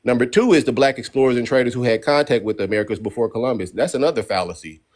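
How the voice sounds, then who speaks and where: A man's voice: mid-range voice, racing. Blunt, slangy man hosting a livestream debate. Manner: blunt, profane, lively street slang. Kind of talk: heated casual debate on a livestream.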